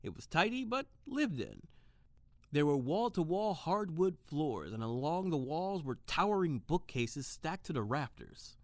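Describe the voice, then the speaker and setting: A woman playing a soft-boiled detective, narrating the case in measured, descriptive first person for an audio drama. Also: sits low, sing-song, no echo, exaggerated voice. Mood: fond, reflective.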